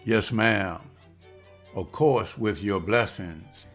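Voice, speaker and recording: A man's voice: lowish voice, drawn-out words, a bit bright, soft background music, exaggerated voice. A man reading the part of William, a hopeful, respectful husband who has just landed a waiter's job, in a table read. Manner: earnest, respectful, says ma'am.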